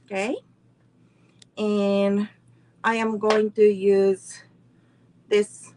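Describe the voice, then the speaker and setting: A woman's voice: medium pitch, little pitch movement, extremely slow, darkish tone, slight background noise. A middle-aged woman teaching a live online card making class, walking through each step unhurriedly and greeting viewers between steps.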